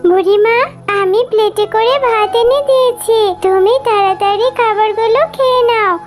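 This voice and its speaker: A woman's voice: extremely high pitch, steady tone, normal speed. A woman voicing an innocent, eager little fox girl in a children's cartoon, with a childlike, pleading, chatty way of talking. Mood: sweet, eager. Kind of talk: Bengali children's cartoon voice acting. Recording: music playing behind the voice.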